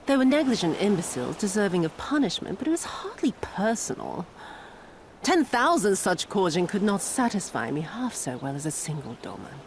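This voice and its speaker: A woman's voice: mid-range voice, very animated, normal speed, a little hiss or hum. A woman playing a cruel, sadistic and haughty imperial overlord in a video game, speaking with theatrical contempt and drawling menace. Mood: bored, sadistic. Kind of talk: dramatic video game voice acting. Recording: loud music behind the voice.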